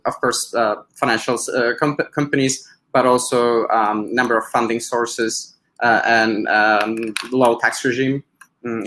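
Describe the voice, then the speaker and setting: A man from Poland with a Polish accent gives a webinar presentation as a business advisor, in a halting way with frequent filled pauses. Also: mid-range voice, pushed and bright, low-quality recording.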